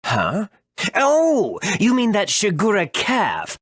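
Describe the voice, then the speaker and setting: Raspy, wildly swooping game villain. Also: male voice, high-pitched, huge pitch swings, slow pace, fairly bright tone, dry recording, clean studio sound, full character voice. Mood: gloating, mocking. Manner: theatrical, gloating, swooping. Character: cackling, boastful villain inventor with explosive temper. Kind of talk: video game voice acting.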